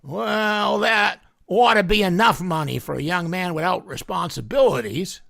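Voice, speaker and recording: An actor playing a gruff, stingy older store boss in a vintage-style radio comedy, talking curtly and blustering. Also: male voice, high-pitched, moderate pace, sharp tone, no echo, clean studio sound, full character voice. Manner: curt, blustering.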